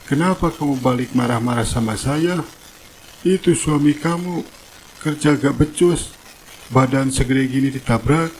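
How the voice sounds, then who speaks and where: A man voicing a gruff, bullying construction foreman in a cartoon drama, a man who fawns on his boss. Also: medium pitch, unhurried, a little mellow, slight background noise, close to an everyday voice, poor audio. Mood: angry, scolding.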